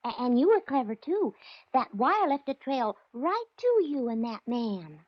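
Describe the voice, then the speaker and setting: A woman's voice: sits high, big pitch swings, unhurried, no echo, heavily acted. An actress playing a naive, gushing, girlish young secretary as comic relief in 1940s radio drama. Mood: eager, gushing. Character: naive, chirpy, dim but sweet young secretary. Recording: dull restored old radio recording.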